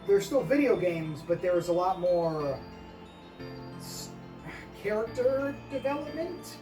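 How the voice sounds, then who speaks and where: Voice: mid-range voice, very animated, unhurried. A podcast co-host chatting casually in an opinionated, rambling, emphatic way and swearing freely. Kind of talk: casual discussion. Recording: roomy echo, distant mic, music behind. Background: music.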